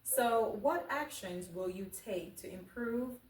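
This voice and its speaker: A woman's voice: medium pitch, animated, takes it slowly. A woman hosting a talk show, asking interview questions and giving brief responses. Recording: echoey room, distant mic, faint noise.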